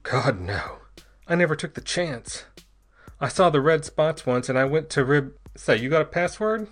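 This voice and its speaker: A man's voice: a little high, expressive, moderate pace, pitched above the performer's own voice. Man doing a wary, blunt radio operator. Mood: nervous suspicion. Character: suspicious, frightened male ship's radio operator.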